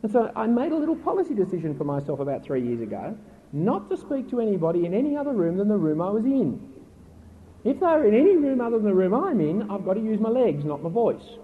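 A middle-aged man preaching a sermon in an earnest, emphatic way with a naturally loud voice, asking his listeners pointed questions. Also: high voice, sing-song, fast talker. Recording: tinny phone-like recording with faint noise.

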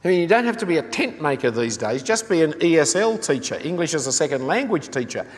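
An older man preaches in a voice pitched a little high, earnest and pressing. He keeps asking his listeners rhetorical questions. His words ring out with the long reverb of a large hall. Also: big pitch swings, fast talker. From Australia. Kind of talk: sermon.

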